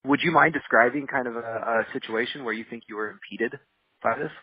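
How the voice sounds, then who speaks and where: A man's voice: mid-range voice, a little fast, husky, dry recording, narrow, tinny audio. A man hosting a podcast interview, asking hedging, searching questions and relating to his guest. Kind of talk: conversational interview.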